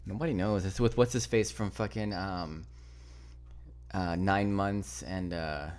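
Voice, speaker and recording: A man's voice: low voice, fairly even intonation, unhurried, a little mellow. A man hosting a casual podcast, counting down a list of movies, rambling and swearing while he fumbles for names. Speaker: podcast host.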